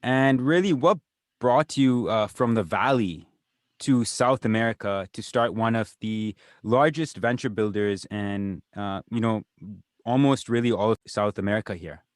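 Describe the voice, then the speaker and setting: Man, podcast host, lowish voice, hesitant, lots of fillers, dry close sound. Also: unhurried, no echo. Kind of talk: podcast interview.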